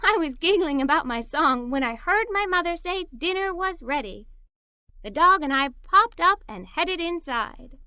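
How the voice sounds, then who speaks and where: Lady reading a kids story as a happy little girl, bright n bouncy, super dry close sound. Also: extremely high pitch, moderate pace, dry recording. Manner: expressive, wide-eyed, playful delivery. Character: cheerful, imaginative little girl adventurer. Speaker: children's story reader. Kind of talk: animated read-aloud storytelling in a child's first person.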